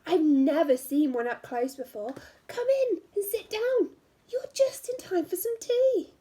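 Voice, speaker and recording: A woman reading aloud as a polite, hospitable wolf from a children's storybook, with an expressive, inviting manner. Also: very high voice, measured pace, pitched above the performer's own voice. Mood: welcoming, curious.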